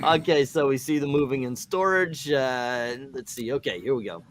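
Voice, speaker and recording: A man's voice: medium pitch, moderate pace, a little hiss or hum. A man hosting an amateur comic reading show with casual banter.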